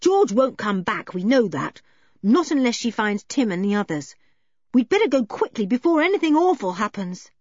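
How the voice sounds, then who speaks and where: Woman as a bold, eager, lively boy. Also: on the high side, normal speed, no echo, dull audio, voice pushed up. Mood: brisk.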